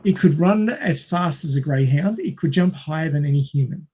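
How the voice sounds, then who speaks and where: A man's voice: a little high, expressive, moderate pace, warm tone, husky. A man with an Australian accent hosting a casual quiz among friends, reading out questions and chatting. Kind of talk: casual group quiz chat.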